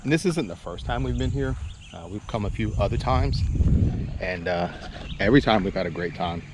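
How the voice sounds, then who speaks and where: A man's voice: medium pitch, big pitch swings, normal speed, gravelly. A middle-aged man chatting casually and in a rambling, laid-back way to viewers as he vlogs outdoors at a campground. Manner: laid-back, rambling, chuckles while talking. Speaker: vlog host. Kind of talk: casual outdoor vlog chat. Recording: outdoor noise, birds and insects.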